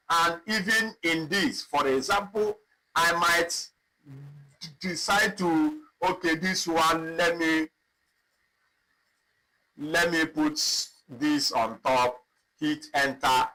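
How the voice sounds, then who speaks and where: A man teaching a live online spreadsheet lesson, talking slowly and repeating himself as he clicks through data. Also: a little high, sharp tone, low-quality recording. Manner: slow, repetitive, thinking aloud while clicking. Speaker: instructor. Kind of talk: live online software tutorial.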